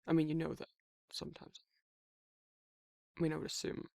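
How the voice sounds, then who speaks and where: A man voicing a gruff but caring werewolf in an intimate ASMR roleplay, with a husky Northern English accent and a hesitant, informal way of talking. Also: fairly high pitch, completely flat delivery, normal speed, dark tone, no room sound, clean studio sound. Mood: awkward, hesitant. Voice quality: husky, gravelly. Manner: hesitant, informal, stumbling over feelings. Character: gruff but caring young male werewolf, awkwardly protective.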